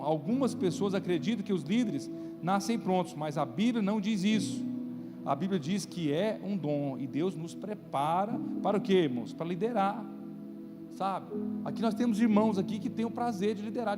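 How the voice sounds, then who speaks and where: A man with a Brazilian accent preaching to a congregation as a pastor, in a conversational way that checks in with listeners through rhetorical questions. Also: sits high. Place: church, live-streamed service. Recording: reverberant hall, loud music underneath. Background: loud music.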